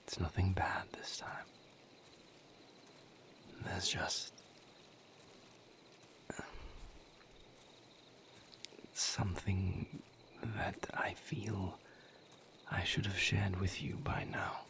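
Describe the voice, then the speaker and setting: A brooding, tender male vampire lover, acted by a man, speaks in a low, breathy whisper for an intimate audio roleplay. He moves slowly and hesitantly through formal, careful phrases, pausing as he confides in his beloved.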